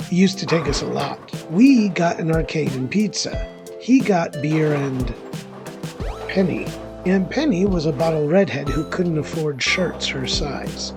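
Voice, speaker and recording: A man acting a resentful, self-deprecating loner confiding in an audio drama. His voice is gravelly with vocal fry, and he rambles defensively in a conversational way over clear background music. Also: fairly high pitch, expressive, a little slow. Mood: bitter. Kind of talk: dramatic first-person monologue, conversational.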